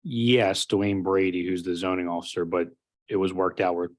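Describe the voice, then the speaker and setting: A man's voice: deep voice, fairly even intonation, moderate pace. A man giving a formal, matter-of-fact report of fire company call numbers at a township meeting. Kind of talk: reading a report at a public meeting.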